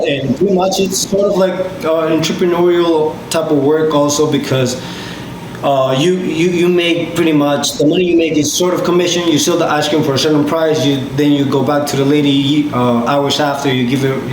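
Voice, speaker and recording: A man in his twenties from Peru, a podcast guest telling his story casually with many fillers and restarts. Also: mid-range voice. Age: 20s. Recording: echoey room with loud background noise.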